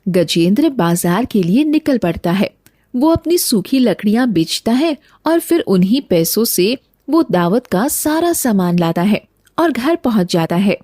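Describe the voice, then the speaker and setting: A woman's voice: medium pitch, big pitch swings, measured pace, warm tone, no room sound, clean studio sound. A woman narrator reading a scripted children's story.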